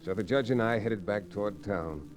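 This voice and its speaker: An actor playing an easygoing, generous young frontier lawyer in an old-time radio western, with a folksy, wry manner. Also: male voice, lowish voice, normal speed, a little mellow, natural voice. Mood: narrating. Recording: noisy vintage radio recording.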